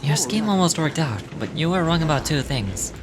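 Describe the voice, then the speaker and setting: A man's voice: a little high, sing-song, normal speed. A man reading game dialogue aloud in character, with small stumbles as he reads. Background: music.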